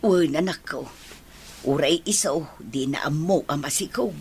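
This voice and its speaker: A woman's voice: low voice, unhurried, a little mellow, a little hiss or hum. A woman playing a caring, fretful older mother in an Ilocano radio drama, with an Ilocano accent. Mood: gentle, reassuring.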